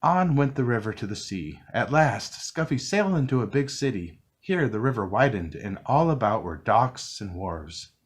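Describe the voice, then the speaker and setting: A man reading a children's picture book aloud, narrating gently and expressively. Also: mid-range voice, very animated, normal speed, hardly any pauses, slightly rough. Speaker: storybook reader. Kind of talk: children's read-along narration.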